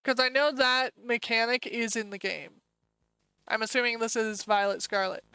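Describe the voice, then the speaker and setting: An American woman streaming live, talking in a loose, chatty way as she thinks aloud and tosses in quick asides. Her voice sits a little high and comes across smooth and clear, with faint music playing softly underneath. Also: fairly even intonation, dry recording, rough recording. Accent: American English. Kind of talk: casual live-stream commentary.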